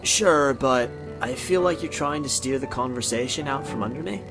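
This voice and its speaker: A man's voice: medium pitch, close to an everyday voice. A man voicing a friendly, awkward young ghost in a visual novel, rambling and correcting himself in an earnest way. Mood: casual. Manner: halting, rambling, self-correcting. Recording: music and night insects behind. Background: music, crickets and frogs.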